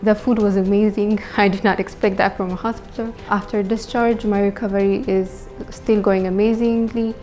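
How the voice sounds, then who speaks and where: A young woman speaks in an Ethiopian accent, telling her own story as a patient. Her voice is mellow and relaxed, with very even pitch. Soft music plays behind her. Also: moderate pace, few pauses, rough recording. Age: young adult. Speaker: patient giving testimonial. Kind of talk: personal testimonial to camera.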